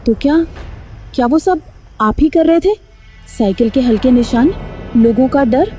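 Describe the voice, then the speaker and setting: A woman's voice: sits high, lively intonation, a little slow, dark tone. A woman playing a kind-hearted, determined village mother in a Hindi cartoon story, earnest and emotional. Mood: shaken. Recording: faint music behind the voice.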